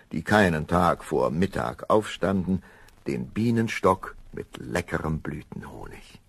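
A man narrating a children's fairy tale as an unhurried, expressive storyteller, with a deep, husky, gravelly voice. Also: no echo, heavily acted.

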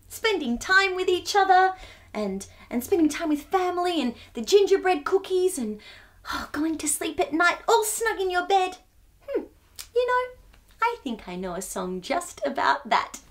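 A woman's voice: very high voice, very animated, measured pace, a bit bright. A woman in her thirties hosting a children's storytime, reading aloud and chatting expressively in a sing-song way to young children. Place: small furnished living room, indoors.

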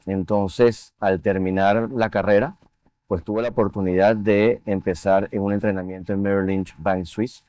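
A man, a guest in a studio TV interview, speaking in a measured, reflective way with frequent fillers. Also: lowish voice, flat delivery, darkish tone, smooth. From Venezuela. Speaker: interview guest, finance executive. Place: TV studio set. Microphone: lapel mic.

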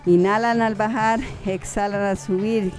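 A young woman talks through exercises in an encouraging, chatty way. Her pitch sits in the middle for a woman, and music plays behind her instructional voice-over. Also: steady tone, unhurried, a little mellow. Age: young adult. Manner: encouraging, chatty, fond of diminutives. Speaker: fitness instructor and vlogger. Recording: background music under the voice.

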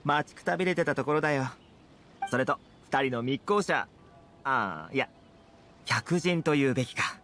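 A man's voice: mid-range voice, animated, moderate pace, long pauses, a bit bright, slight background noise, natural voice. An actor voicing a friendly, easygoing young male trader and fixer in an anime game, with a casual, affable manner. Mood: friendly, playful. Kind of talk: anime video game voice acting.

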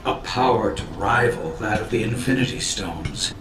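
An actor playing a cold, arrogant robot villain in a video game, gravelly, smug and condescending. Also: male voice, on the low side, animated, moderate pace, close to an everyday voice. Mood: intrigued, arrogant. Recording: echoing voice, dramatic score behind.

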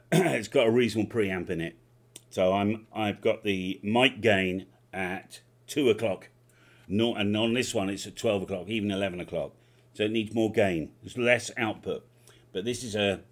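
A middle-aged man operating a ham radio station from a home shack, calling out call signs on a headset and chatting casually. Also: fairly low pitch. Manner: calls out phonetic call signs loudly, then chats to himself. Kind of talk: live radio contacts and casual commentary.

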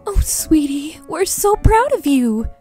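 A woman voicing a tender, consoling mother unicorn in an amateur cartoon drama, gentle and affectionate. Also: high voice, very animated, slow, faint music behind, full character voice. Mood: proud. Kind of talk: amateur animated audio drama.

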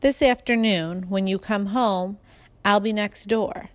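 A woman's voice: medium pitch, slow pace, no echo, lightly acted. A woman voicing a schoolboy's busy mother, bossy but indulgent, in a storybook. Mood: instructing. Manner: directing, motherly.

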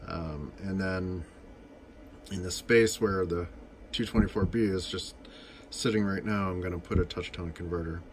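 A man's voice: low-pitched, fairly even intonation, normal speed, a little hiss or hum. A man giving an informal, unscripted technical demonstration in a matter-of-fact, explanatory way, recorded indoors on a camera microphone. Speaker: demonstrator of vintage telephone equipment. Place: indoors beside an equipment cabinet.

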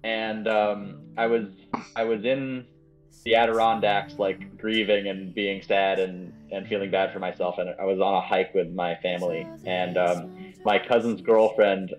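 A man chatting casually as a writer being interviewed, halting and repeating his words. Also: sits low, flat delivery, faint music behind. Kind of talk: casual chat. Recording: dull lo-fi voice call sound.

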